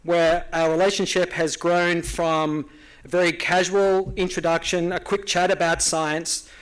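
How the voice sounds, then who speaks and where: A man's voice: on the high side, level tone, a little slow, clear, resonant tone, low-quality recording. An Australian man presenting an award at a ceremony, speaking in an earnest, formal way with small hesitations. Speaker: award presenter, nonprofit founder. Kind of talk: prepared awards speech.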